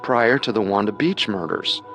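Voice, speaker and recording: Male true-crime co-host. Low pitch, measured dramatic scripted read, soft music underneath. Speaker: co-host narrator.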